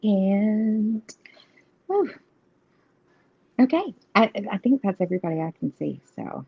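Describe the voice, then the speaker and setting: A woman, talking in a slow, unhurried way and laughing often. She chats casually as co-host of a live tarot stream, and her voice comes through dull, lo-fi stream audio. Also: medium pitch, sing-song, gravelly, breathy edge. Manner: chatty, giggly, Southern "y'all". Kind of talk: casual livestream chat.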